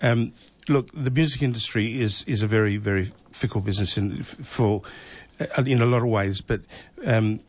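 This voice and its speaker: A man's voice: on the low side, moderate pace, few pauses, husky. A man in his fifties, born in Italy, a singer in a vocal duo, talking in a casual chat with many restarts. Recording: dull, dry studio sound.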